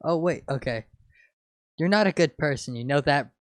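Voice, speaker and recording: A man's voice: on the high side, lively intonation, moderate pace, dry recording. A man co-hosting an informal fan fiction reading, mixing casual chat with amateur reading aloud.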